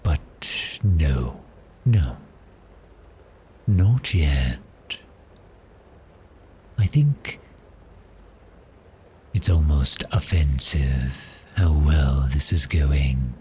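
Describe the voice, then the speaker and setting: A man playing a predatory vampire in an intimate ASMR roleplay, teasing and menacingly gentle, with a breathy, half-whispered voice. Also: very deep voice, animated, slight background noise, exaggerated voice. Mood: teasing, amused. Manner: slow, teasing, menacingly gentle, lingering pauses. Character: cheerful, predatory male vampire, silkily toying with prey.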